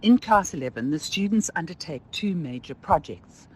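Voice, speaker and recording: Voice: medium pitch, expressive, moderate pace, slightly rough, poor audio. A school presenter giving a formal, explanatory announcement about student work.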